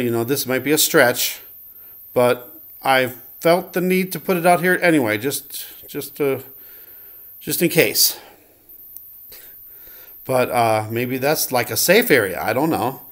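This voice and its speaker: A man's voice: mid-range voice, fairly bright tone. A man vlogging in a casual, unscripted way, commenting as he goes in a hesitant, rambling manner and often correcting himself.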